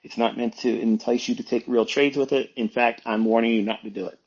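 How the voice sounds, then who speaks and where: Husky guy doing an off the cuff lecture, blunt and preachy, kinda muffled lo-fi audio. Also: mid-range voice, fairly even intonation, fairly quick, a little mellow. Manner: emphatic, blunt, admonishing, repeats phrases for stress. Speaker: trading educator hosting an online audio talk. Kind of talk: informal unscripted monologue, lecturing.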